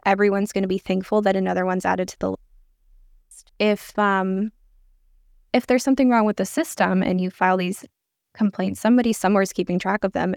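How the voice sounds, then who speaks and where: Creaky-voiced woman, conversational. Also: mid-range voice, dry recording, clean studio sound. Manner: candid, explanatory, informal. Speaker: podcast guest, air traffic controller and pilot. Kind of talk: conversational interview answers.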